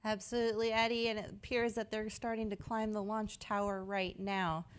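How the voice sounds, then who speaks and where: A woman's voice: mid-range voice, level tone, normal speed, clear, resonant tone. A woman co-hosting a live launch broadcast, introducing crew with upbeat presenter delivery. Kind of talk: scripted live broadcast commentary.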